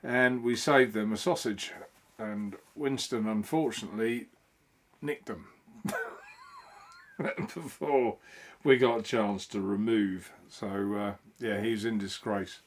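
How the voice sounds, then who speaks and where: A man hosting a live stream from his home, talking in a loose, rambling way. His pitch rises and falls a lot as he greets viewers by name one after another. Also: wildly swooping pitch, slow, husky. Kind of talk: casual live-stream chat.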